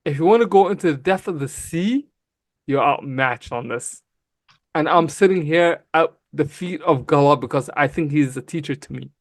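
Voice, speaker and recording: A man raised in Canada whose first language is Somali, arguing emphatically and quickly in a heated livestream debate, often calling the other person bro. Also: on the high side, no room sound, studio quality. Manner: emphatic, fast, calls people bro. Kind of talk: heated casual argument.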